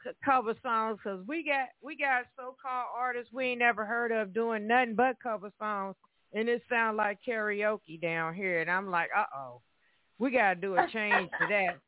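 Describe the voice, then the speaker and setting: A lively woman hosts a call-in show, slangy and full of enthusiasm. Her voice is dry and narrow, like a phone line. She speaks at a middle pitch. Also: normal speed, no echo. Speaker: radio show host. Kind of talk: call-in radio talk.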